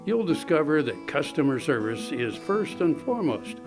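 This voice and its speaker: A man's voice: on the high side, big pitch swings, moderate pace. American male ad announcer over guitar music. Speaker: commercial announcer. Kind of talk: scripted ad narration.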